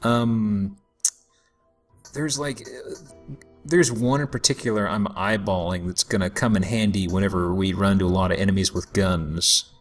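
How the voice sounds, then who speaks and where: A man with a fairly low voice hosts a video game playthrough. He chats casually and rambles into joking asides, with game music clearly playing behind him. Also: moderate pace. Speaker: let's play host. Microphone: voice-over microphone over game audio.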